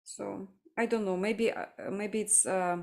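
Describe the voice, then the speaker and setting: A woman from Ukraine hosting a livestream discussion, speaking earnestly and haltingly as she searches for her words. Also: mid-range voice, fairly even intonation, vocal fry. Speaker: livestream host. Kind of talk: casual discussion.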